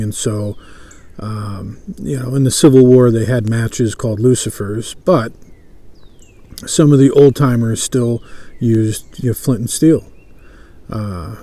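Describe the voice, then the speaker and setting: Slow-talking, mellow man. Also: medium pitch. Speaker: bushcraft instructor and presenter. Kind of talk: casual show-and-tell demonstration. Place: outdoors at a table on a lawn by woods.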